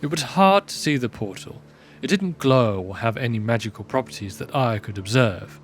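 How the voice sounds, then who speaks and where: A man's voice: mid-range voice, animated, moderate pace, a little hiss or hum. A man giving scripted narration for a fantasy audio drama, in the style of a storybook. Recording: music bed under voice.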